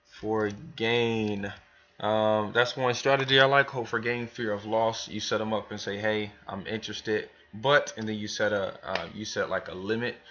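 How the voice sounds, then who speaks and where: Male trainer, lowish voice, informal and rambling step-by-step walkthrough, muffled lo-fi sound. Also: a bit bright. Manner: informal, rambling, thinking aloud. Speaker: business trainer demonstrating software to new hires. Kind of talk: casual screen tutorial, talking through steps.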